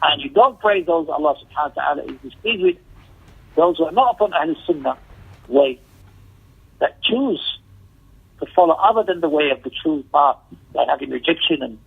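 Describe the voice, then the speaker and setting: A man with a fairly high, gravelly voice delivers an Islamic lecture. He is emphatic and repeats key phrases as he explains a text. The sound is thin and tinny, as if coming down a phone line. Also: animated, moderate pace, pauses often. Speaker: religious teacher giving a lesson.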